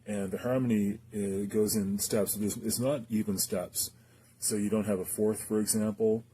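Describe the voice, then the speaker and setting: A man's voice: on the low side, steady tone, moderate pace, mellow, slight background noise. A man giving a casual, unscripted gear demonstration, speaking hesitantly and thinking aloud as he goes. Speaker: gear reviewer demonstrating a guitar effects pedal. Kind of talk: casual, unscripted product demo talk.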